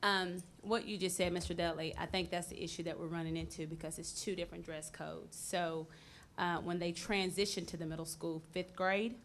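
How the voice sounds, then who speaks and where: A woman's voice: fairly low pitch, steady tone, normal speed, a bit bright, a little hiss or hum. A woman middle school principal, speaking matter-of-factly at a board meeting.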